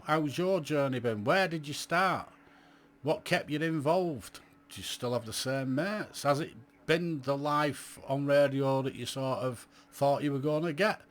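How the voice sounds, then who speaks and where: A man's voice: mid-range voice, a bit bright. A middle-aged man, talking to viewers in a blunt, chatty, rambling vlog about his amateur radio hobby and asking them many questions. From England. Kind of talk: casual vlog monologue to viewers.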